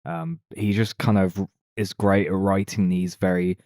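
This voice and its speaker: A man's voice: low voice, animated, unhurried, mellow, no room sound, studio quality. A man co-hosting a film podcast, talking casually about movies.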